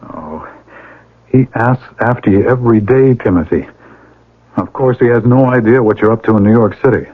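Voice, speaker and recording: An actor playing a stern, responsible older brother in a radio drama. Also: male voice, medium pitch, normal speed, lightly acted. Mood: stern, reproachful. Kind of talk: 1980s radio drama acting with narration. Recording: tinny old radio broadcast.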